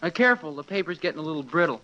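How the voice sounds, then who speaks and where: A man's voice: fairly high pitch, lively intonation, very fast, natural voice. A young male actor playing an earnest, helpful teenage son in a radio family comedy, speaking matter-of-factly. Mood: helpful, candid. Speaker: sitcom son. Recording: very noisy, muffled vintage radio recording.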